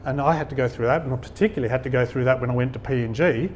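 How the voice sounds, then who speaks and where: Middle-aged man, event guest speaker, relaxed and anecdotal, average pitch, light hiss behind. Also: rapid-fire. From Australia. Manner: conversational, anecdotal, chuckles at his own stories. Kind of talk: informal talk to an audience. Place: function hall.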